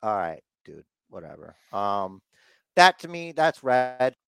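A man's voice: on the low side, big pitch swings, slow, creaky, a bit bright, clean tone, no echo, studio quality. Congested, rambling man on a podcast. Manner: rambling, puts on mocking voices for quotes. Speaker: podcast co-host recapping wrestling. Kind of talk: casual chat.

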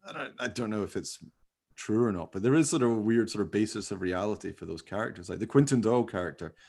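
A man with a fairly low voice talks casually as a podcast co-host. He rambles and pokes fun at himself, keeping things informal. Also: fast talker, creaky, a little mellow, slightly rough. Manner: rambling, self-deprecating, jokey. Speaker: podcast co-host, radio play writer. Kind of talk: casual behind-the-scenes chat.

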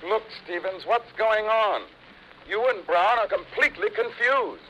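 A man's voice: high voice, unhurried, sharp tone, dull audio. A man playing an exasperated, demanding company boss in an old radio comedy, stern and formal. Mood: stern, impatient. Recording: faintly noisy vintage radio recording.

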